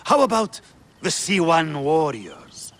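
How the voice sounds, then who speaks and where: An understated, businesslike arena man. His fairly high voice moves slowly with lively swings in pitch, game-drama style. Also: full character voice. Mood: dry, businesslike. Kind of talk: dramatic video game acting.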